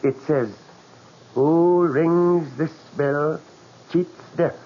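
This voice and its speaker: An actor playing a grave, superstitious curio seller in a 1940s radio mystery, speaking slowly and formally with halting phrases. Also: male voice, a little high. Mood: solemn, ominous. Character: grave, superstitious male curio seller guarding an ominous legend. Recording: dull, noisy old 1940s radio recording.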